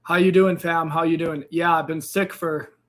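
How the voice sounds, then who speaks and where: A man hosting a casual live trading stream, upbeat and greeting viewers by name, his voice a bit raspy and hoarse after losing it. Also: fairly high pitch, level tone, normal speed, fairly bright tone. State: slightly hoarse, recovering from a lost voice. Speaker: crypto trading livestream host. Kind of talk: casual livestream chat.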